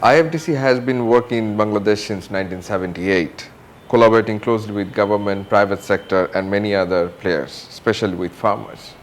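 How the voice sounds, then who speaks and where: A man's voice: on the low side, fairly quick, slight background noise. A man hosting an interview, introducing his guest in a formal, polished, prepared way. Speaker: interview host.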